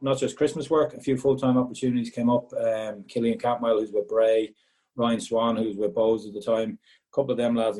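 An Irishman with a Galway accent talks quickly and easily, his voice soft and mellow. He answers questions in a loose, chatty way, often doubling words as he picks his thought back up. He sounds like a former footballer looking back on his work. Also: mid-range voice, rough recording. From Ireland, Galway. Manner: chatty, repeats words when restarting. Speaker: former footballer, regional sales manager. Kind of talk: casual interview answers.

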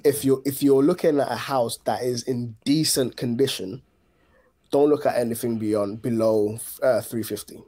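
A man with a London accent and a mellow, rounded tone. His voice sits at an average male pitch. He talks in a colloquial, slangy way, like a co-host in a relaxed podcast chat. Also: normal speed. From Ghana and London. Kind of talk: casual chat.

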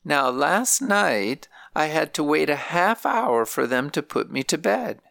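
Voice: medium pitch, very animated, unhurried, gravelly, no room sound, studio quality, pitched above the performer's own voice, exaggerated voice. A narrator putting on a frail old grandmother. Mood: weary complaint. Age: older. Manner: plaintive, soft-spoken complaining. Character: frail elderly grandmother in a nursing home, weary and complaining.

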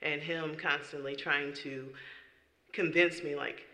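A woman's voice: sits low, fairly even intonation, takes it slowly, bright, forceful tone, echoey room. A woman who sings in worship, giving an earnest, emphatic personal testimony in an interview. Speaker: worship singer.

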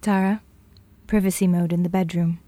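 An actress in an audio drama playing a busy, businesslike professional woman who gives brisk orders. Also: lowish voice, fairly even intonation, dark tone, lightly acted. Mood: playful, brisk.

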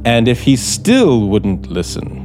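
Slow, menacing radio-drama gunman. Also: medium pitch, huge pitch swings. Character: embittered, desperate male radio scriptwriter holding a gun. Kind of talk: 1950s radio suspense acting. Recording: 1950s radio broadcast, music behind.

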